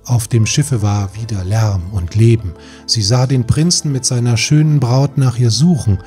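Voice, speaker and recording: Male audiobook narrator, medium pitch, measured fairy tale storytelling over faint music. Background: soft music.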